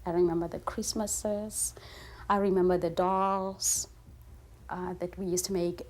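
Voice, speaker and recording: A woman's voice: on the low side, flat delivery, a little slow, darkish tone. A woman from Africa, a Sotho speaker who talks in an articulate, thoughtful way with small fillers. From South Africa. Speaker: librarian, interview guest.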